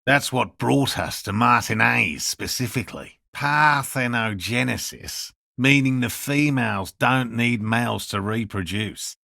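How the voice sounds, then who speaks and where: An actor playing an earnest, stubbornly hopeful elderly male cryptozoologist in a video game, speaking with a gravelly rasp and a formal, pedantic manner. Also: medium pitch, unhurried, hardly any pauses, bright, forceful tone, dry recording, clean studio sound, full character voice. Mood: earnest, scholarly. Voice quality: gravelly, raspy. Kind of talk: video game character dialogue.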